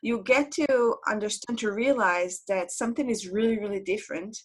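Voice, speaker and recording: A woman's voice: mid-range voice, normal speed. Chatty woman teaching online. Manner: chatty, repeats words, asks students questions. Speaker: Hebrew teacher. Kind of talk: live online class. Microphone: computer mic on video call.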